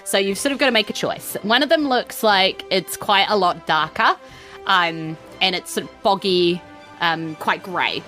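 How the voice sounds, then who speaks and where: A woman's voice: mid-range voice, expressive, measured pace, bright, forceful tone, soft background music. A woman with a New Zealand accent, narrating a tabletop game as game master in an informal, conversational way. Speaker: game master, podcast host.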